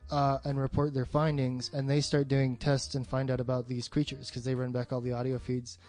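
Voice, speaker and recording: A man's voice: mid-range voice, completely flat delivery, brisk, darkish tone, faint music behind. A man chatting casually as a podcast co-host, retelling a plot in colloquial, sweary language. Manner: profane, colloquial.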